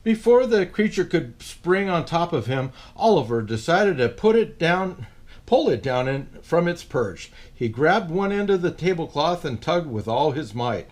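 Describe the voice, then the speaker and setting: Animated man reading a picture book aloud. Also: a little high, a little slow. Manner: animated, with playful asides to young listeners. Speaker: storyteller in a Santa persona.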